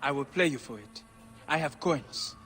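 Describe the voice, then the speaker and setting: A man's voice: mid-range voice, lively intonation, normal speed, a bit bright, faint music behind, lightly acted. An actor playing a sly, dramatic man of ancient Egypt in a video game cutscene. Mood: pleading.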